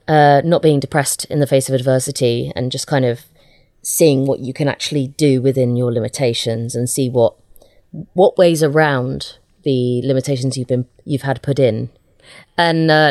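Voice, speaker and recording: Low-voiced woman, halting and self-correcting. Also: a little mellow, no echo, clean studio sound. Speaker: interview guest, audio drama writer. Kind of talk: casual interview chat.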